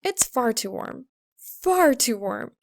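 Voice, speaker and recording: A woman's voice: on the high side, big pitch swings, slow. A woman narrating scripted fiction as an audiobook reader.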